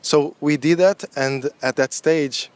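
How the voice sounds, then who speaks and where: A man who founded a fitness community, telling its story in a relaxed, chatty way at an outdoor meetup. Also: on the high side, slow pace. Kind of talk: casual interview.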